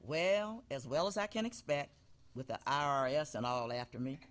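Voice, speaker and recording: A man's voice: mid-range voice, expressive, normal speed, a bit bright, natural voice. A man playing an anxious, folksy elderly mother who guilt-trips over the telephone in a live comedic radio show. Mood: fretful. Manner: folksy, martyred, guilt-tripping. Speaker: stage performer in a benefit show.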